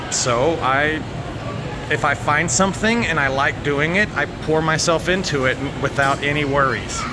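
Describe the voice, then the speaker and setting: An American man talking casually to viewers, rambling and halting, candid and sweary, into a handheld phone. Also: on the high side. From United States. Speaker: vlogger. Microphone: handheld phone at arm's length. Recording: noisy outdoor recording with vehicle sounds.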